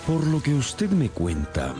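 A man's voice: medium pitch, wildly swooping pitch, measured pace. An actor playing a shrewd, composed male detective in a radio drama, with a probing, wry and theatrical manner. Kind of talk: Spanish-language radio drama acting. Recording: faint music under the voice.

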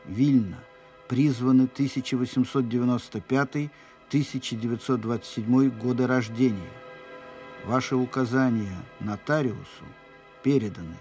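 An actor playing a formal military reader of urgent dispatches in a radio drama, official, precise and impersonal. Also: male voice, mid-range voice, a little mellow, close to an everyday voice. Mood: clipped, secretive. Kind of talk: scripted document reading in radio drama. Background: faint music.

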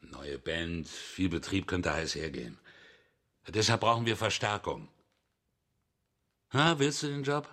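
Amiable bouncer for radio drama: lowish, hard-edged forceful voice, casual and friendly. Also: male voice, unhurried, pauses often. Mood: friendly, inviting. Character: good-natured tough bouncer, former wrestler.

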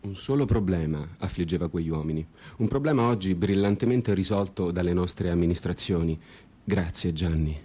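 A man's voice: sits low, moderate pace, dark tone. A man delivering a scripted theatrical monologue as narrator, with a solemn, ironic air of mock nostalgia. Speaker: narrator and performer.

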